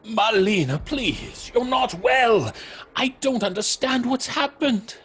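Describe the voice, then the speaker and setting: A man playing an anxious theatre colleague in a horror drama, pleading and halting in distress. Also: very high-pitched, lively intonation, a bit bright, slightly rough, exaggerated voice. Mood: worried, pleading.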